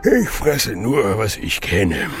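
An actor playing a slow-witted, forgetful polar bear in a children's audio play, halting and stumbling over words. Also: male voice, on the low side, huge pitch swings, faint music behind, exaggerated voice. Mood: sleepy, puzzled.

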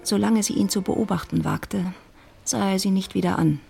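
A woman giving measured, composed literary narration in a radio play. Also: medium pitch, creaky, dark tone, soft background music.

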